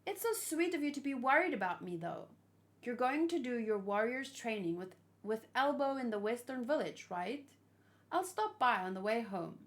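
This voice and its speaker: A woman reading manga dialogue as a kind, caring female barkeeper, gentle and earnest. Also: a little high, big pitch swings, moderate pace. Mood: fond. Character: kind, caring woman who runs a bar. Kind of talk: manga dialogue read aloud.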